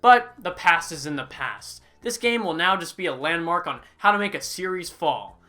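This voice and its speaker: A game reviewer gives a sarcastic, mocking voice-over that often jumps suddenly into loud, high outbursts. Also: animated, normal speed.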